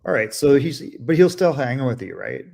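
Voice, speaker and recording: A man from Canada hosting a casual live interview, chatty and quick to joke and laugh. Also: medium pitch, very animated, gravelly, darkish tone. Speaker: host.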